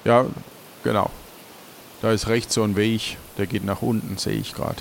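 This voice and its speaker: A man's voice: lowish voice, level tone, moderate pace, creaky, slight background noise. A man casually leading an improvised adventure role-play as its game master.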